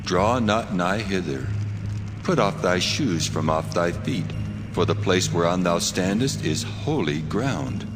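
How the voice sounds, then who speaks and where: A man's voice: sits low, expressive, takes it slowly. A man playing God in a dramatized scripture reading, a solemn and commanding divine voice. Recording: long cavernous reverb, music underneath. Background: music.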